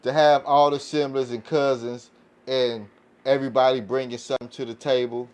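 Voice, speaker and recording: A man's voice: medium pitch, level tone, moderate pace, a little mellow, clean tone. A man in a casual podcast panel discussion, talking in informal slang and often checking that listeners follow him. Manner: informal slangy talk, repeats "you know what I'm saying".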